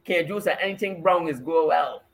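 Man, talk show host, fairly high pitch, joking banter. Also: a little fast, vocal fry, a bit bright. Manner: joking, dialect-heavy banter. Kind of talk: casual chat.

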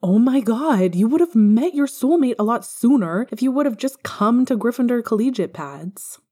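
A woman's voice: on the high side, expressive, normal speed, dark tone, pitched above the performer's own voice. A woman voicing a jovial, exclaiming young father and loyal best mate in an audiobook. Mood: excited. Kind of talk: audiobook fan fiction character dialogue.

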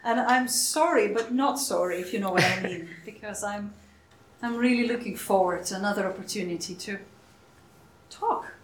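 A woman minister leading a church service, speaking softly and unhurriedly, with frequent hesitations, sometimes dropping to a near whisper. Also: mid-range voice, crowd noise, poor audio. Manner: soft, unhurried, hesitant with fillers. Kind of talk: leading worship and interviewing a church member.

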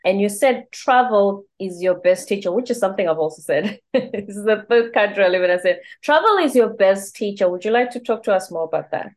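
A woman's voice: medium pitch, lively intonation, a little fast. A woman, a Zulu speaker, hosting an interview in an affirming, conversational way. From South Africa. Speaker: interview host.

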